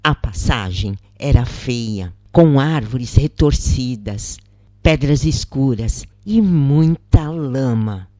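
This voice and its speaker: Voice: mid-range voice, animated, slow pace, warm tone, no room sound, clean studio sound. A narrator reading a scripted first-person story.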